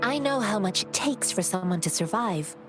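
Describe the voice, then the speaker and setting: An actress voicing a calm, kind young woman wanderer in a game, measured and fond of proverbs. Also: mid-range voice, lightly acted. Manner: serene, measured, speaks in proverbs. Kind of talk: video game voice acting. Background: music.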